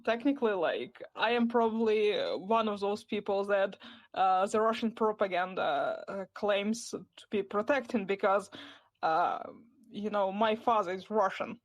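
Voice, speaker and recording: A woman's voice: mid-range voice, a little slow. A woman from Ukraine, a podcast guest talking casually and rambling, hedging often with "like" and light laughter. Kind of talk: casual interview chat.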